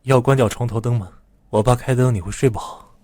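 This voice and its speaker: An actor playing a troubled, clingy young male student in an animated drama, quietly obsessive, with a soft-spoken, coaxing manner. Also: medium pitch, fairly quick, dry recording, studio quality, lightly acted. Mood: wounded, pleading.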